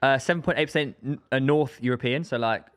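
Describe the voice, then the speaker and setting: Young man, rapid speech, dry close studio sound, teasing. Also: medium pitch, clear, resonant tone, no room sound. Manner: quick, teasing, animated storyteller. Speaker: podcast co-host. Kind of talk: casual banter among friends. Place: podcast studio.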